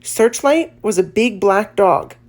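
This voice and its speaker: A woman's voice: mid-range voice, slow. Woman narrating a children's audiobook. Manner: expressive storytelling. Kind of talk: scripted children's story narration.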